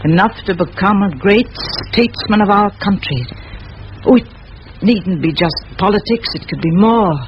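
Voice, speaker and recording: An actress playing a determined middle-aged schoolmistress in a vintage radio play, speaking in firm, measured, eloquent phrases. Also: female voice, mid-range voice, close to an everyday voice. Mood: earnest, impassioned. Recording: muffled old radio recording, faint hiss.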